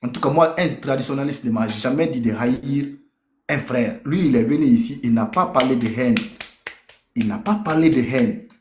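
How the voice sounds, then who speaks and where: A man's voice: medium pitch, normal speed, a little hiss or hum. A man from Africa speaking with emphasis in a group debate, giving impassioned personal testimony and repeating phrases for weight. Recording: echoey room.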